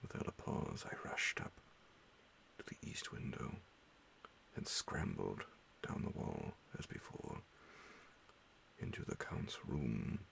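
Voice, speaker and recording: A man reading a horror bedtime story in ASMR style, hushed and half-whispered, breathy and gravelly, with dramatic pauses. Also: rumbling low, lively intonation, slight background noise. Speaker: audiobook narrator.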